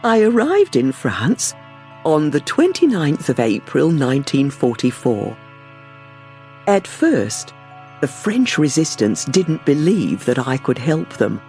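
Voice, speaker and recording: A man giving a careful, clearly paced first-person storybook narration for language learners. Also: on the high side, sing-song, slow, darkish tone, faint music behind.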